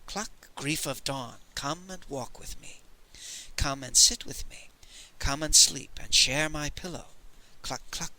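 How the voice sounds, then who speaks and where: Guy doing a giant gentle barbarian king, hushed sing-song chant, soft and low-key. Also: full character voice, low-quality recording.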